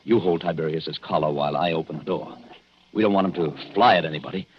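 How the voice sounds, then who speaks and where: An actor playing an anxious young husband, protective and skeptical, with jittery, emphatic reassurances in 1940s radio drama style. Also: male voice, sits low, fast, a little hiss or hum, close to an everyday voice. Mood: tense, protective. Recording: dull 1940s radio recording.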